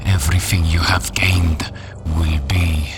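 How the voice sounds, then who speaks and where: Casting: vengeful game-villain dictator, low register, drawn-out grandiose menace. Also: male voice, flat delivery. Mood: menacing fury. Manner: slow, threatening, grandiose. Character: defiant, menacing male Libyan dictator, proud and vengeful. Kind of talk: dramatic video game villain speech.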